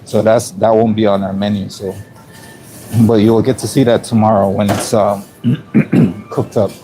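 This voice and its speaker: A man with a raspy voice casually narrating his work as a chef on a live stream from a commercial kitchen, clearing his throat often between ums. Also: lowish voice, a little slow, background noise. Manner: relaxed play-by-play, frequent throat clearing and ums.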